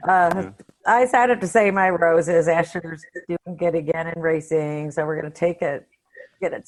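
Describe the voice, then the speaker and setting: Lowish-voiced woman, blunt and informal. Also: poor audio. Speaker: podcast co-host. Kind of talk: casual chat.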